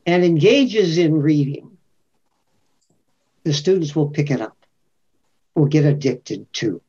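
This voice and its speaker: A man speaking as a panelist in a webinar, telling a story slowly and deliberately, often repeating his points. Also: fairly high pitch, dark tone. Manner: slow, deliberate storytelling with repetition. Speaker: panelist, reading scholar. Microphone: video call. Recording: muffled lo-fi webinar audio.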